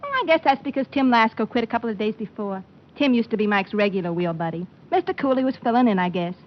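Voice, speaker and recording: A perky young secretary acted by a woman in an old-time radio crime drama. Her voice sits fairly high and she chatters with guileless, slangy ease. The recording is muffled and dated. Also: animated, fast, lightly acted. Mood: chatty, naive. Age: young adult. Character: chatty naive young female trucking office secretary. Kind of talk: 1950s radio detective drama acting.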